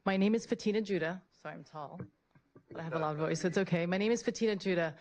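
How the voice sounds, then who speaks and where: A woman's voice: on the low side, quick pace, darkish tone. A woman giving a prepared public presentation as a school principal, earnest and repeating phrases for emphasis. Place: public board meeting room, at a podium.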